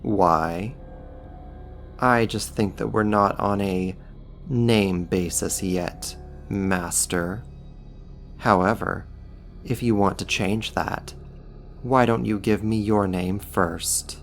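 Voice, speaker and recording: Casting: man as powerful demon for audio roleplay, low-pitched, slow delivery, taunting mock courtesy. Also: creaky, soft background music. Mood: condescending, taunting. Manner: sarcastic, teasing, drawn-out mock politeness. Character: powerful male demon, sly, mocking, condescending. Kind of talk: ASMR roleplay, slow scripted monologue to the listener.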